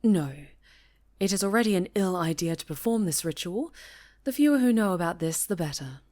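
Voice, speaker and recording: Woman playing a stern, formal high enchantress. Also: lively intonation, brisk, a little mellow, natural voice. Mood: stern, resigned. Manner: formal, grave, disapproving. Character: stern, cautious high enchantress, mentor witch. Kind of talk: fantasy ASMR roleplay acting.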